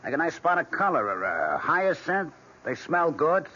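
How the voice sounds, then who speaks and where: An actor playing a brash, impatient husband, blunt, scornful and domineering, in an old-time radio drama. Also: male voice, a little high, big pitch swings, normal speed, sharp tone, slightly rough, full character voice. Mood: contemptuous. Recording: muffled, hissy vintage radio recording.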